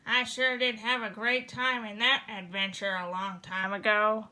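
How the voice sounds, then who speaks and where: A woman's voice: medium pitch, flat delivery, a little slow, sharp tone. A woman putting on the voice of a bumbling, cheerful male detective in a bedtime storybook reading.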